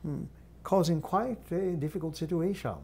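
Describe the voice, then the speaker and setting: A man channeling a guide entity, teaching in a measured, formal way with long pauses and thoughtful hums. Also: on the high side, creaky, darkish tone, breathy edge. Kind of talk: slow deliberate channeled teaching.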